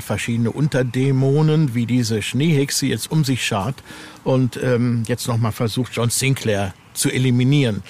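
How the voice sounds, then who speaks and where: A man's voice: medium pitch, darkish tone, slight background noise. A man, a novelist, talking casually as a guest in a podcast interview.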